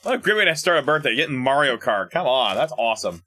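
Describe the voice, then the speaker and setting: A man streaming live, chatting casually with viewers, animated, laughing often and stumbling as he reads things aloud. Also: mid-range voice, quick pace, no echo.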